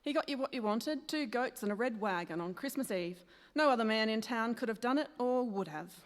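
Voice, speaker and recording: A woman's voice: on the high side, expressive, a little fast, lightly acted. A woman playing a plucky, forthright newspaper worker in a radio play, earnest and outspoken. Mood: indignant. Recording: echoey hall stage recording.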